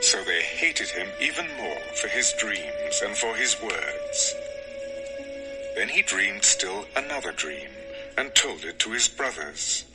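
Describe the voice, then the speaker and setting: Low, expressive male Bible narrator. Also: takes it slowly, poor audio. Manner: solemn storytelling. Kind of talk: scripted dramatized Bible narration. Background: music.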